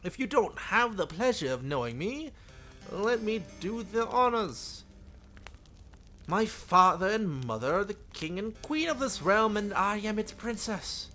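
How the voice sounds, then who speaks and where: A man's voice: high-pitched, very animated, measured pace, bright, forceful tone, soft background music, pitched above the performer's own voice, exaggerated voice. A man voicing a cheerful, sheltered young princess in a visual novel, with an airy, regal, slightly formal manner. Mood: proud, gracious. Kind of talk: visual novel character read-aloud.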